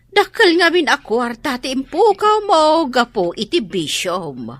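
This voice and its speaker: An exasperated mother in a radio drama. Her voice is forceful and very expressive in pitch, recorded dry. She nags and reproaches as she speaks. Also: a little slow, dry recording, heavily acted. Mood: reproachful. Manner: nagging, reproachful. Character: exasperated scolding mother of a gambling grown daughter. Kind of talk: Ilocano radio drama acting.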